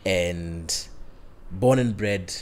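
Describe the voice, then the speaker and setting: A man in his thirties hosting a podcast interview, relaxed, backing his guest with frequent short affirmations. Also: deep voice, drawn-out words, a little hiss or hum. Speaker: podcast host. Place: indoor studio lounge set. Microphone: handheld or boom podcast mic.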